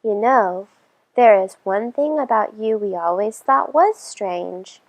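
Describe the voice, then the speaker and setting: A woman's voice: mid-range voice, animated, slow pace, highs cut off. A woman voicing a friendly, frank young boy in a children's storybook. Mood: curious.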